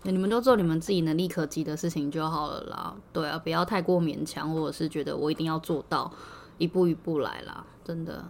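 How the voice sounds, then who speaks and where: Low, creaky-voiced woman, casual and reassuring. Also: fairly even intonation, quick pace. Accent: Taiwanese Mandarin. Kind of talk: casual chat. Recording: faint background ambient noise.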